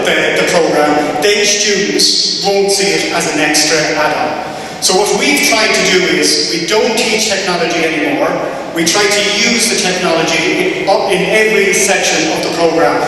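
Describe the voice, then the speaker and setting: Echoey, high-pitched Irish man presenting. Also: bright, forceful tone. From west of Ireland. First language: Irish Gaelic. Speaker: university lecturer. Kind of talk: conference presentation to an audience. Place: large reverberant presentation room. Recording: very echoey hall, distant mic, faint noise.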